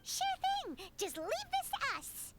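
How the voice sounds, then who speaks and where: Squeaky childlike fairy companion, noisy audio. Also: female voice, huge pitch swings, unhurried, heavily acted. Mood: chirpy. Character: Paimon, tiny bubbly female fairy companion, childlike and outspoken. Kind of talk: video game voice acting.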